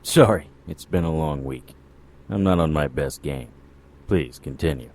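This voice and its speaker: A man playing a jaded, sardonic occult investigator, dry and deadpan, in a noir audio drama. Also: extremely low pitch, unhurried, full character voice.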